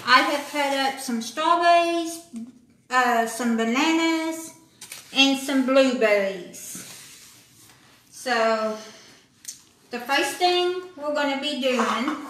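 A middle-aged woman giving a casual cooking demonstration in a small indoor room, speaking in a slow, plain, halting way, recorded on a handheld phone. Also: high voice, sharp tone. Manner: slow, plain, halting delivery with many pauses. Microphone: handheld phone camera. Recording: echoey room, faint background noise.

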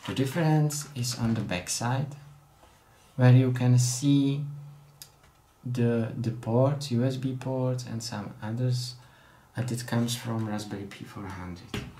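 A man's voice: mid-range voice, slow pace, long pauses, warm tone, roomy sound, a little hiss or hum. A young man from the Czech Republic with a Czech accent, speaking careful non-native English as he shows off a hobby project at his desk at home. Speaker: hobbyist vlogger. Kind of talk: casual tech demo. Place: small room at home, indoors at a desk.

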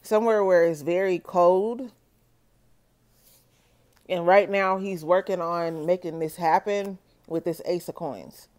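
A woman doing a solo tarot reading for her viewers, talking slowly and checking in with "okay?". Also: on the low side, fairly even intonation, a little mellow. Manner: slow, measured, repeats "okay?" to viewers. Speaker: tarot reader.

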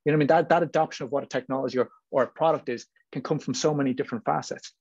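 A man's voice: mid-range voice, racing, a little mellow, husky. A man with an Irish accent talking informally and chattily as a panelist on a video call. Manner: talkative, hedging with frequent "you know what I mean". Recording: muffled, roomy video call audio.

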